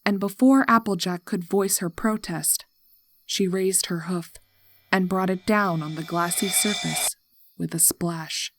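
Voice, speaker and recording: A woman narrating a horror story in a deliberate, suspenseful, dramatic style. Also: fairly low pitch, very noisy. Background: background music.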